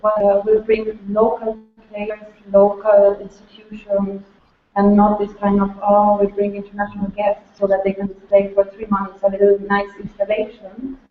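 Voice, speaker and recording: A woman talks casually in a group interview, feeling for her words in a narrow, even pitch. Her voice comes through a tinny call with strong room echo and faint noise. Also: moderate pace. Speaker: member of an art and design collective. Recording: echoey, noisy, thin phone-like online call.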